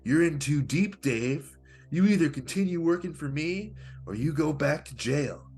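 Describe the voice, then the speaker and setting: A man playing a smug, menacing con-artist pickup guru in a comedic script read, with taunting, theatrical delivery and a husky voice. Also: fairly high pitch, expressive, a little mellow. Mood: threatening. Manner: taunting, theatrical, deliberate threats.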